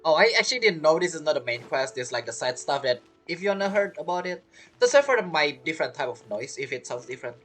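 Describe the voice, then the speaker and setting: Lively casual game streamer woman. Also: deep voice, fast talker, faint music behind. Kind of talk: casual gameplay commentary.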